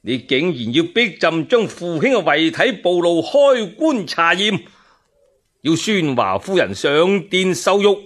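Man acting out a blustering, high-pitched scheming emperor. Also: very animated, measured pace, fairly bright tone, heavily acted. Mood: indignant bluster. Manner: pompous, indignant declaiming. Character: crafty tyrannical new emperor, blustering, indignant, scheming.

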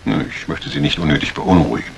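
Suave doctor, deep voice, measured, quietly ominous, 1950s thriller, faint noise. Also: male voice, animated, creaky, full character voice. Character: urbane, subtly ominous country doctor. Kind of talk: 1950s German dubbed thriller acting. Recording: faintly noisy vintage soundtrack.

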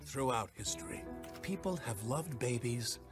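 A man voicing a bossy, cynical baby boss in an animated film, curt and deadpan with a gravelly voice. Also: medium pitch, unhurried, close to an everyday voice. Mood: lofty narration. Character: bossy suit-wearing baby boy, cynical corporate boss. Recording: music under dialogue.

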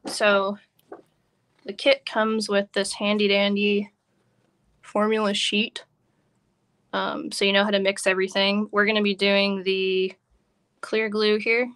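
A woman with a bright, forward voice leads a live hands-on craft lesson. She talks casually and informally at an average pitch, explaining each step as she works. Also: monotone, slow, long pauses, clear, resonant tone. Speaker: craft instructor. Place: work table indoors, live online stream.

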